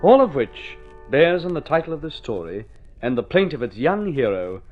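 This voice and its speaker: A man's voice: on the high side, very animated, moderate pace. A man narrating a story in vintage radio drama style, with an urbane, wry, theatrical manner. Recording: dull old radio recording with music.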